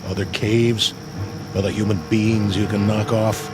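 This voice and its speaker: An actor playing a hard-bitten, sarcastic army sergeant who needles people, in a radio drama. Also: male voice, on the low side, level tone, unhurried, music in the background, natural voice. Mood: bitter sarcasm. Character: hard-bitten, sardonic army sergeant baiting his lieutenant.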